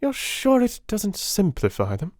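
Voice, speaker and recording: A man playing a brilliant, commanding Victorian detective in an audiobook, questioning briskly and with authority. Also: high voice, no echo, clean studio sound, pitched above the performer's own voice, heavily acted. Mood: keen, commanding. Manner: rapid, animated, authoritative questioning.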